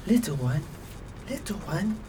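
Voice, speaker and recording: A woman voicing a cowardly thirty-year-old male ex-convict who stalks young women, with a breathy, whining delivery. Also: on the low side, very animated, measured pace, warm tone, a little hiss or hum. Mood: coaxing.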